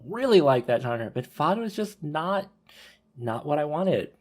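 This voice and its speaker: A man's voice: a little high, very animated, a little slow, darkish tone. A man talking casually and informally as a podcast host, often hedging his opinions. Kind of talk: casual group discussion.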